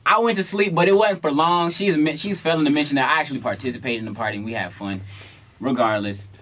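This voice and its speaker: A man's voice: on the high side, fast talker. A young adult American man casually telling stories in a playful, slangy way, acting out quotes as a vlog co-host. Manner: playful, slangy, animated retelling with acted-out quotes. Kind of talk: casual storytelling.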